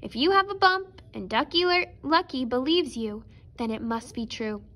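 Woman as a storybook tom turkey, high-pitched, inquisitive, skeptical, doubting. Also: animated, moderate pace, a bit bright. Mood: reasoning.

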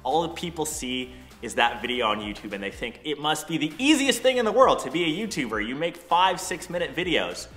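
A young man talks in a casual, upbeat way, touring his new studio and answering questions. His voice is a touch high and bright. The bare room gives it a roomy echo. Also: big pitch swings, brisk, faint music behind. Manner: upbeat, chatty, conversational. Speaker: YouTuber. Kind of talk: casual vlog walkthrough and Q&A. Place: empty condo studio, large bare room. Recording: echoey empty room.

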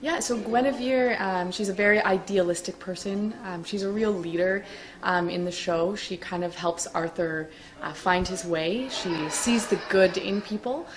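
A woman's voice: mid-range voice, moderate pace, slight background noise. A young woman talking informally and thoughtfully about her role in a cast interview. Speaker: amateur stage actress.

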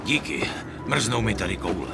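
An actor playing a grumbling guard stuck outside in a dubbed game cutscene. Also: male voice, on the low side, sing-song. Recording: outdoor street noise behind the voice.

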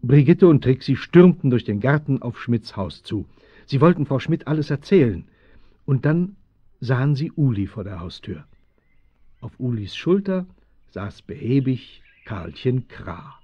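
A man's voice: medium pitch, big pitch swings, unhurried, slightly rough, no echo. A man narrating a youth adventure radio play in a scripted storybook style. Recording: dull vintage audio-drama recording, some music.

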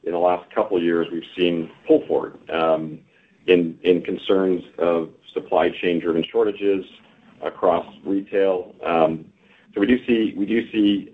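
A man answering as a company executive on an earnings call, halting and measured. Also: sits low. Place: conference call. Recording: muffled conference phone line.

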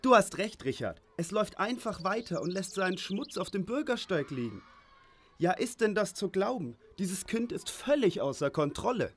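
Casting: male actor as goody-two-shoes comic radio-play hero, high animated voice, exclamatory, some background noise. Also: normal speed, exaggerated voice. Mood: earnest, indignant. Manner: over-earnest, exclamatory. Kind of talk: comic audio drama acting.

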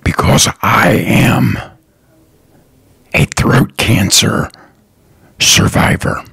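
A man hosting his own podcast, talking slowly and earnestly in a raspy, partly whispered voice. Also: lowish voice, a bit bright, no echo. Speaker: podcast host, lawn care business owner. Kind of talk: slow, earnest solo podcast talk.

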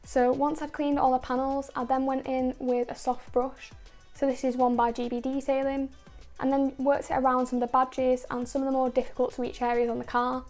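A woman narrating a car detailing video in a steady, explanatory voice-over, going through each step and product methodically. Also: high voice, a little fast, few pauses, soft background music. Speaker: car detailing channel host.

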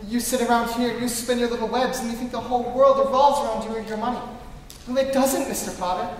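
A man's voice: extremely high pitch, fairly even intonation, fast talker. A man playing an earnest, frustrated young family man in a live radio play, emotional and theatrical. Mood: indignant. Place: on stage in a school auditorium. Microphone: stage mic, recorded from far camera. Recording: distant echoey auditorium, clear room noise.

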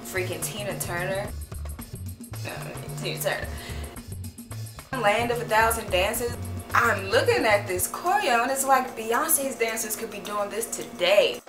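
A young American woman chatting casually to camera in a slangy, enthusiastic, expressive way. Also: mid-range voice, unhurried. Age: young adult. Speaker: choreographer. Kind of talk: casual vlog talk to camera. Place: small room indoors. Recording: roomy sound with loud music behind. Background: loud music.

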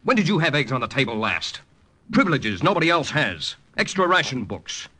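A man's voice: medium pitch, very animated, fairly quick, pushed and bright, husky, full character voice. An actor playing a cool, calculating male spy who poses as a collaborator in an old radio drama. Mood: hard, persuasive. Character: male OSS agent posing as Nazi collaborator, cool, calculating. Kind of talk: 1940s-50s radio drama acting and first-person narration. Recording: old radio recording with music behind.

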